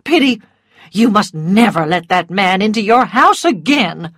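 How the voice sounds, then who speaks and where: A woman's voice: fairly high pitch, very animated, unhurried, fairly bright tone, dry recording, clean studio sound, voice pushed up, full character voice. A woman voicing an indignant, bossy older society matron who scolds and commands, in a storybook reading.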